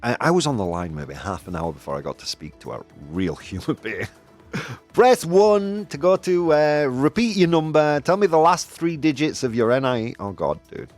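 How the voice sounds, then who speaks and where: A man hosting a casual opinion show, talking in an animated, rambling way with lots of fillers and self-corrections. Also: mid-range voice, normal speed, soft background music. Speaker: podcast host. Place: Spain.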